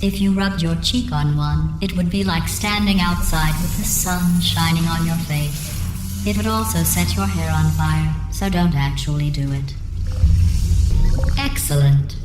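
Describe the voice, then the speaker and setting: A woman voicing a coldly cruel female game AI with robotic processing, deadpan, sarcastic and falsely sweet. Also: sits low, unhurried, reverberant, over music, rough recording. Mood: smug calm, then icy sarcasm. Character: female artificial intelligence running tests, passive-aggressive, coldly cruel. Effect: synthetic robotic processing. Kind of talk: video game character dialogue.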